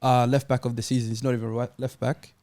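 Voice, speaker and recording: A man's voice: mid-range voice, level tone, quick pace, darkish tone, no room sound. A man talking casually and argumentatively as a panelist on a football show. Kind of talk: casual debate.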